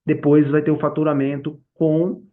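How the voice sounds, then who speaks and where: A man's voice: a little high, flat delivery, moderate pace, phone-quality sound. Brazilian man explaining in an informal tutorial. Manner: conversational, frequently checks in with short tag questions. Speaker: software tutorial instructor.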